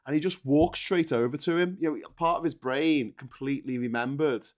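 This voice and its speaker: A man's voice: a little high, normal speed. Joking, sweary Liverpudlian man. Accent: Liverpool English. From Liverpool, England. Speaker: panelist on a live online chat show. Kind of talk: casual political banter and anecdotes.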